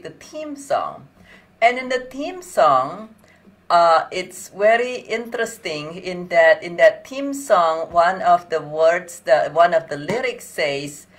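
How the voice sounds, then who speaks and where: A woman in her forties with an Indonesian accent, talking to camera in a casual, animated vlog from a small home office. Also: on the low side. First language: not English. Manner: animated, laughing, gesturing with hands. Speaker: motivational vlogger.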